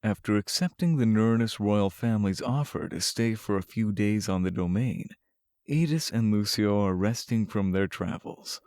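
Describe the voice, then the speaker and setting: Mellow, low male narrator. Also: moderate pace, clear, resonant tone, no echo, studio quality. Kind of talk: scripted fantasy narration.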